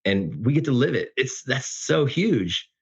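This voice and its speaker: A man's voice: medium pitch, very animated, unhurried, slightly rough. A middle-aged man talking casually and earnestly as an effusive podcast guest. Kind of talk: casual heartfelt conversation. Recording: dull lo-fi audio.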